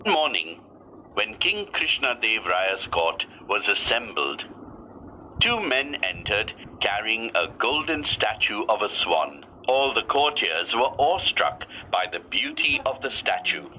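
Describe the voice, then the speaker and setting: Bright, forceful man narrating a story. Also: fairly low pitch, sing-song, unhurried, slight background noise, low-quality recording. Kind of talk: scripted moral story narration for children.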